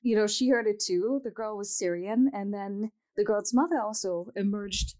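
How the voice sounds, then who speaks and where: A reflective young woman from Germany, Arabic her mother tongue, telling a personal story in a soft, unhurried way. She pauses to find her words and keeps the tone calm and sincere. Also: mid-range voice. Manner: thoughtful, soft-spoken, with pauses and small laughs. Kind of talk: reflective personal testimony to camera.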